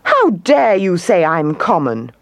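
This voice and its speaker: A woman's voice: fairly low pitch, very animated, takes it slowly, no room sound, dull audio, voice pushed up, exaggerated voice. A woman voicing a vain, huffy female emu in a children's story, squawking and indignant.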